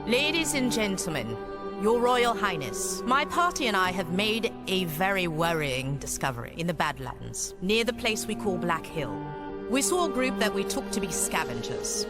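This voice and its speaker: A woman performs an earnest captain in a fantasy game. She is formal and deliberate, with animated pitch movement, while loud music runs behind her. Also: natural voice. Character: earnest, dutiful female captain reporting to council. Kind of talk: video game cutscene acting.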